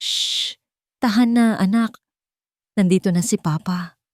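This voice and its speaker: Woman playing a tired hurt dad in a love story, hushed but intense, dry close sound. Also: medium pitch, slow pace, no echo, voice pushed up, close to an everyday voice. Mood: weary soothing. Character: weary, hurt young father of a small child.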